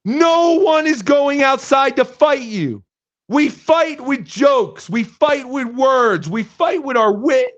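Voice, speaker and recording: A man voicing a brash, boastful online troll who calls himself Daddy, hoarse from shouting. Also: very high-pitched, expressive, exaggerated voice. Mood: taunting. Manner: boastful, taunting, drawn-out delivery. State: hoarse, losing his voice. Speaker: guest host. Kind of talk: live stream chat. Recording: dull lo-fi stream audio.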